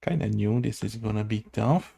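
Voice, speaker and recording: A man's voice: lowish voice, normal speed, vocal fry, dark tone, husky. A man thinking aloud through a casual coding tutorial, hesitant and muttering to himself in short fragments. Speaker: game development tutorial host.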